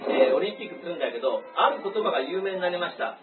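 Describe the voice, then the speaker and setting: A man from Japan visiting a class as a guest speaker, chatting quickly and quizzing the children on words. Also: high-pitched, expressive, over music. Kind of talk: casual classroom talk. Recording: echoey room, far-off lo-fi phone-like sound with chatter.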